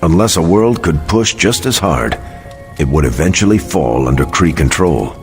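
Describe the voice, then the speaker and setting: An actor playing an earnest, thoughtful veteran soldier superhero in a video game, speaking in measured declarations. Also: male voice, low-pitched, expressive, soft background music. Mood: earnest, eager.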